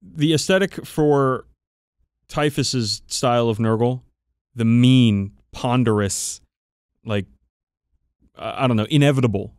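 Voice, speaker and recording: A man's voice: mid-range voice, takes it slowly, long pauses, darkish tone, clear, resonant tone, no echo. Emphatic, profane man, dry close sound. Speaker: podcast co-host. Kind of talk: casual chat about tabletop wargaming.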